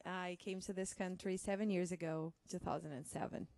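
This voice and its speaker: A woman's voice: lowish voice, steady tone, fairly quick, a little mellow, no room sound. A woman from Brazil introducing herself informally and jokingly as an audience volunteer.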